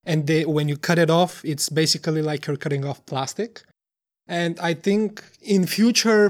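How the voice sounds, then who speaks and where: Earnest man, slightly high voice. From Bulgaria. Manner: earnest, searching for words, many restarts. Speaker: startup co-founder. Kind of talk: interview answers.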